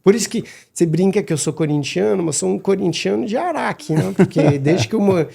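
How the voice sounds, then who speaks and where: This is a middle-aged man, chatting as a podcast guest. His voice sits a little high for a man, with a gravelly, fry-laden edge and a dark, mellow tone. He talks haltingly and often restarts his words. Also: animated. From Madagascar. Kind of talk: casual interview talk.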